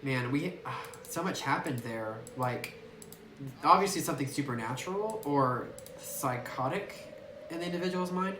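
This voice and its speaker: A man's voice: on the high side, lively intonation, moderate pace. Man thinking aloud through a spooky game. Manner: musing, easily spooked, thinks aloud with fillers. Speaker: gameplay streamer. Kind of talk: let's play commentary, reading game text aloud. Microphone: phone recording away from the speaker. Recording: echoey room, distant phone mic, faint noise.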